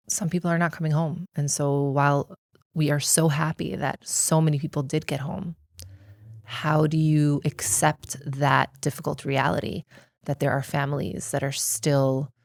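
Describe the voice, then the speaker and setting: Middle-aged American woman, deep voice, dry close sound; earnest interview guest who explains things carefully. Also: no echo. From United States. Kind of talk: reflective personal interview.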